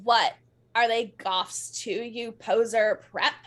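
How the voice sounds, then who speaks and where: A woman's voice: a little high, fairly even intonation, slow pace, fairly bright tone. A woman voicing a snarky, melodramatic goth teenage girl in an amateur dramatic reading of fan fiction. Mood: scornful, angry.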